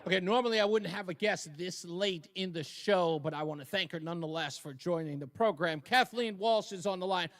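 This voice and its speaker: Talk radio host, man, notably high voice, conversational live interview delivery. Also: a little fast, few pauses, a bit bright.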